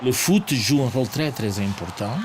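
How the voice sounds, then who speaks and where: A man's voice: mid-range voice, expressive, normal speed, background noise. A middle-aged man from Portugal with a Portuguese accent, speaking earnestly in a documentary interview with many hesitations and tag questions. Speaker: sociologist interviewee.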